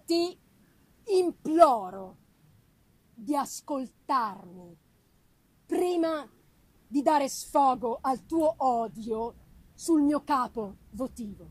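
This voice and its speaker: A woman voicing a tall, wretched created monster, bitter, pleading and menacing, in halting, deliberate phrases for a gothic novel reading. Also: sits high, expressive, darkish tone, slightly rough, no echo, exaggerated voice, rough recording. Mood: pleading, proud. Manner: slow, halting, deliberate delivery in short phrases. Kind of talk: dramatic audiobook-style reading of a gothic novel.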